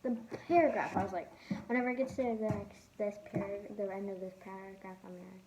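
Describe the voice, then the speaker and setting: A woman's voice: mid-range voice, steady tone, fairly quick. A young girl reading a children's novel aloud with casual asides, stumbling over and repeating words as she goes. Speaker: host of a read-aloud channel. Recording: roomy echo, faint background noise.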